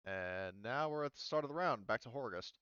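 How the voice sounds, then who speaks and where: A man acting as game master in a casual online roleplaying session, thinking aloud and halting as he checks rules. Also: medium pitch, animated, a bit bright, smooth, dry recording, lo-fi sound.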